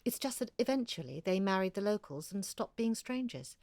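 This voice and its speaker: A woman's voice: mid-range voice, very animated, a little fast, darkish tone, no room sound, studio quality. A woman playing an earnest, chatty amateur researcher in an audio drama, confiding and effusive as she talks. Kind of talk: conversational audio drama monologue.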